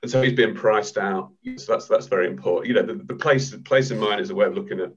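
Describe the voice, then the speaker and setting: A man's voice: lowish voice, fast talker. A man in his thirties from the north of England, a guest author on a video-call panel. He talks in a hesitant, casual way, repeating words and restarting often. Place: home room, video call. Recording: dull lo-fi video call audio.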